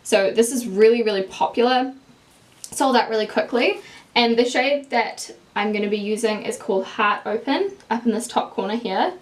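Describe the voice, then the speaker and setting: A young woman with a strong New Zealand accent talks casually to camera, explaining eyeshadow swatches as she holds up each palette. Her voice sits a touch high and sounds like a small indoor room picked up by the camera. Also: normal speed. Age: young adult. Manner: chatty, explains product swatches while holding up palettes. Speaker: beauty vlogger. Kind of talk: casual makeup review to camera.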